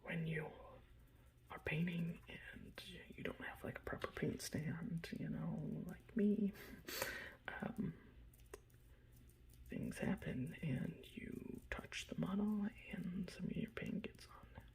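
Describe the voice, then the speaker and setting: A man softly whispering in a casual, rambling ASMR style, breathy and close to the microphone, showing off a hobby. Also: on the high side, takes it slowly, poor audio. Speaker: ASMR video host. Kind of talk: ASMR, informal hobby show-and-tell.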